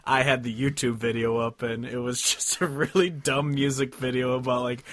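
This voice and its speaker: A man's voice: medium pitch, steady tone, moderate pace, fairly bright tone, no echo. A man chatting casually and informally as a podcast host, swearing freely as he talks about music. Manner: profane, informal.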